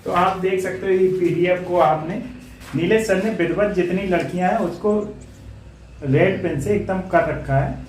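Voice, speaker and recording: A man's voice: high voice, darkish tone. A man, co-presenting an informal coaching lesson and explaining things to viewers. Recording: very echoey room, distant mic, faint noise.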